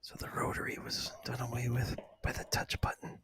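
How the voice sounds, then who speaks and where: A man hosting ASMR, speaking to the listener in a hushed, breathy whisper and soft voice. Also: mid-range voice, completely flat delivery, brisk. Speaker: ASMR video host.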